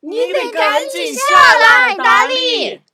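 A woman voicing a small, excitable forest rabbit in a children's audio drama, calling out urgently. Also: extremely high pitch, takes it slowly, background noise, full character voice. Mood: alarmed.

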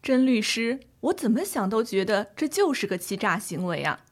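A woman's voice: fairly high pitch, sing-song, moderate pace. A woman playing an earnest, concerned grandchild seeking legal advice in a scripted educational audio drama. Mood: indignant.

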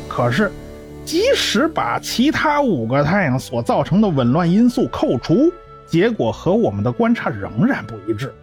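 A man doing an earnest lecturing scientist. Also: a little high. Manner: explaining at length, lecturing. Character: learned male scientist, earnest lecturing explainer. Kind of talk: amateur radio drama. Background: faint music.

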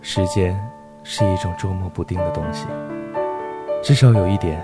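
A man playing a wistful, gentle young man with a reflective delivery in an audio drama. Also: low-pitched, normal speed, natural voice. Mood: nostalgic. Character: wistful young man longing for a lost love. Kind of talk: Chinese audio drama acting. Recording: music behind the voice.

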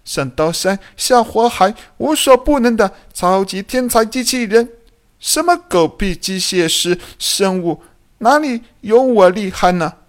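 A man's voice: high-pitched, expressive, unhurried, pitched above the performer's own voice. A man voicing a cocky, foul-mouthed robot in an audiobook, boastful and scolding. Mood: indignant boasting.

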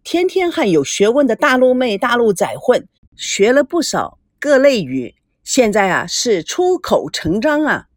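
A woman's voice: a little high, sing-song, a little slow, no room sound, clean studio sound. A woman voicing a cheerful young helper who shows off playfully in an audiobook. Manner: playful, showing off.

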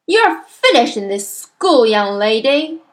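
A woman's voice: on the high side, very animated, takes it slowly. A woman voicing an enraged, tyrannical headmistress in a storybook, bellowing venomous insults and bullying threats. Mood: ranting rage.

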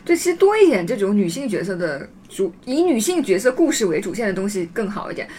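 A woman hosts a casual podcast chat at a brisk clip. She is talkative and reflective, with a mid-pitched voice. A faint layer of noise sits behind her. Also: sing-song, dark tone. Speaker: podcast host.

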